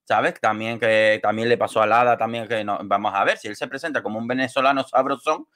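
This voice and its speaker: A man's voice: mid-range voice, steady tone, brisk, fairly bright tone. A man, giving wordy, gossipy reality-show commentary in casual talk on a video call from home. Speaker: online show co-host and commentator.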